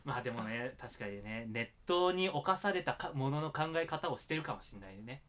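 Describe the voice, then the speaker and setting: A man with a bright, forward voice hosts a casual podcast chat. His pitch moves around in a lively way, and he talks about himself in a self-deprecating manner. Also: fairly quick. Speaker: podcast host.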